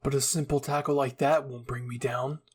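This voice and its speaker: A man's voice: mid-range voice, flat delivery, normal speed, breathy edge, close to an everyday voice. A man voicing a playful, teasing young man who is earnest and easily flustered, in a fan fiction reading.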